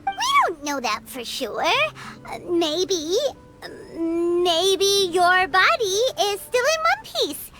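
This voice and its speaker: A tiny, earnest fairy sidekick from a video game. The voice is extremely high, squeaky and childlike, cutesy and cheerful with lively swoops in pitch. Loud music plays behind it. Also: female voice, slow, heavily acted. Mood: awkward, reassuring. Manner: cutesy, refers to self in third person. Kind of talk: scripted video game character dialogue.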